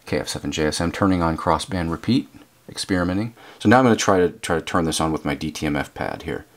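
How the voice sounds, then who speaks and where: Man, low deep pitch, informal hands-on demo, explanatory, thinking aloud, hobbyist. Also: fast. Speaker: ham radio hobbyist demonstrating equipment. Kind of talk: casual hands-on demonstration. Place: indoors at a desk.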